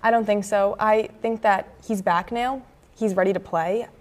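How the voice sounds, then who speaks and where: A young woman with an American accent gives conversational sports commentary as a co-host. Her pitch sits in the middle for a woman, and she talks at a studio desk into a lapel mic. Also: fairly even intonation, normal speed, darkish tone. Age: young adult, early 20s. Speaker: student sports show co-host. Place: indoor campus TV studio at a desk.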